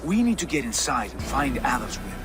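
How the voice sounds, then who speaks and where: An actor playing a loyal, practical Viking ally who speaks in an encouraging way, in a video game cutscene. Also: male voice, mid-range voice, big pitch swings, a little fast, close to an everyday voice. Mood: steady. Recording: music under dialogue.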